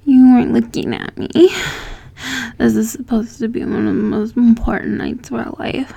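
A woman playing an obsessive, possessive young female lover in an audio roleplay, slow and gravelly, swinging between hushed and loud. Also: on the high side, little pitch movement, no echo. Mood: hurt, accusing.

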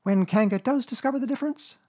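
A man's voice: very high voice, fast talker, dark tone, voice pushed up. A man voicing a very small, timid piglet in a children's storybook, earnest, wordy and nervous. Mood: anxious, hesitant. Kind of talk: children's storybook character reading.

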